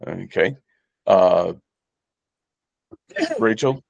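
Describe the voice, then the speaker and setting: A man with a low voice chairs a committee meeting. He speaks slowly and formally, working through procedure. The sound is close and dry, with no room around it. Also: gravelly, husky, dry recording, muffled recording. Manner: slow, formal procedural speech.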